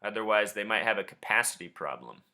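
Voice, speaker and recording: A man's voice: on the low side, animated, quick pace, bright, forceful tone. A man with an insistent, suspicious manner, speaking as an online host and caller in conspiracy commentary. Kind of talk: conspiracy commentary and confrontational phone questioning.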